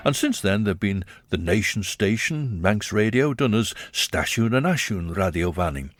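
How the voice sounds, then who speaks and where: A man narrating a scripted radio documentary, measured and explanatory. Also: lowish voice, lively intonation, music in the background.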